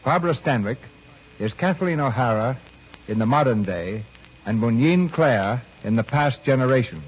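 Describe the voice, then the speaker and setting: A man hosting a classic radio drama, announcing and narrating from a script in a polished, measured way. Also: medium pitch, lively intonation, husky. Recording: dull old radio broadcast. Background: music.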